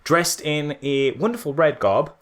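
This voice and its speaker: A man co-hosting a podcast, chatting casually and comically about films in an animated, mock-serious way with rhetorical questions. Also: on the high side, unhurried. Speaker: podcast co-host. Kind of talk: casual comedic film critique chat.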